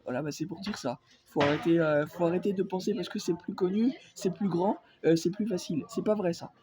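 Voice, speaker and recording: A man's voice: high voice, fairly quick. A young man with a cold, rambling through an opinion vlog full of fillers and insistent repeats. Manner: hesitant, many fillers, insistent. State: has a cold. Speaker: vlogger.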